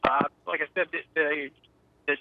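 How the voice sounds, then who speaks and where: A man's voice: on the high side, steady tone, moderate pace, slight background noise. A man giving a live eyewitness report as a storm chaser over the phone, in a hesitant, matter-of-fact way. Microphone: phone call. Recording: muffled narrow telephone line.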